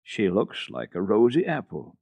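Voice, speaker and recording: A man's voice: lowish voice, wildly swooping pitch, a little slow, creaky, a little mellow, husky, no room sound, full character voice. A man voicing a humble grown daughter, a polite and deferential peasant woman, in a storybook reading. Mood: agreeable. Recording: dull archival radio tape.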